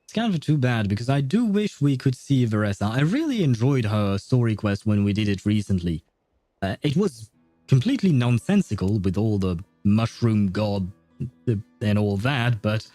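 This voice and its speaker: A man's voice: mid-range voice, dark tone, no room sound. A man streaming a story game, chatting casually in a rambling, jokey way and laughing at himself. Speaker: gaming streamer. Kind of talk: casual live game commentary.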